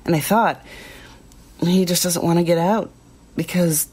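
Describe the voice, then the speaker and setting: A low-voiced, slightly husky middle-aged woman in an audio drama documentary. She is a lonely small-town churchgoer, looking back in plain, halting, reflective phrases. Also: steady tone, darkish tone. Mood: subdued, reflective.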